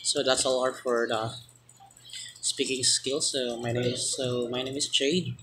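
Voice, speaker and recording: Young man, Filipino accent, student presenter, halting delivery, mid pitch, noisy small room. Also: little pitch movement. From Philippines. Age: young adult. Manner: halting, restarts phrases, frequent "so" and "uh". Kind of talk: informal class presentation. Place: small indoor room, ceiling lights. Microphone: phone camera at arm's length.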